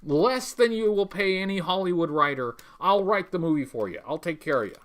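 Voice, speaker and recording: Male livestream host, slightly high-pitched, rambling, stop-start, wry, tongue-in-cheek, casual chat. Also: animated, fairly quick, fairly bright tone. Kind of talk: casual livestream chat reading viewer messages.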